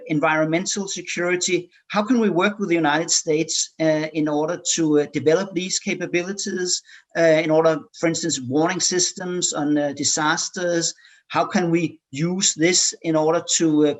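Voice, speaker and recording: A man from Denmark, speaking as a panelist in a policy discussion, pausing often with filler sounds and repeated words. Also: fairly high pitch.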